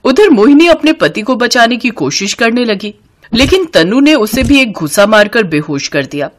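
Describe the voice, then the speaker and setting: Expressive woman storyteller, lively pitch. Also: moderate pace. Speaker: story narrator. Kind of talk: scripted storybook narration for cartoons.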